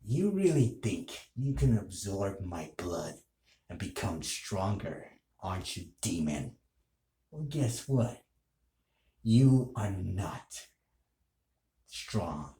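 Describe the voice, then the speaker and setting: A man playing a deep-voiced, menacing anime villain. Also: sing-song, extremely slow, pauses often, mellow, roomy sound, heavily acted. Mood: taunting, menacing. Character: menacing male anime villain taunting a cornered demon. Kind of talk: amateur anime role play.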